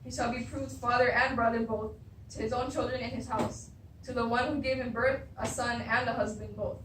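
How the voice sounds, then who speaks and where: A woman's voice: fairly high pitch, fairly even intonation, moderate pace, crowd noise, natural voice. A young woman acting a stern, defiant blind prophet of doom in stage readers' theatre, solemn and accusatory. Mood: ominous, accusing. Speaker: drama student. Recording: roomy, distant mic, clear noise.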